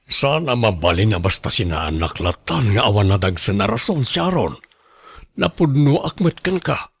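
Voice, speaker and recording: A man's voice: lowish voice, very animated, moderate pace, husky, no room sound, heavily acted. A man acting an earnest young father who pleads with his former partner in an Ilocano radio drama. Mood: hurt, pleading.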